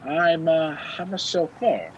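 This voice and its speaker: A man playing a polite, quick-witted fugitive wrongly on the run, in a radio play recorded over a video call. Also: a little high, animated. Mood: evasive. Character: hunted young man, polite, quick-talking, indignant fugitive. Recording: dull, noisy home video call.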